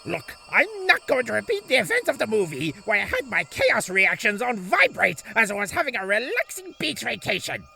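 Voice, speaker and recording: A woman's voice: medium pitch, wildly swooping pitch, brisk, vocal fry, few pauses, bright, forceful tone, exaggerated voice. A woman voicing a bossy, theatrical, exasperated small pet animal in a cartoon-style audio drama. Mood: bossy, impatient.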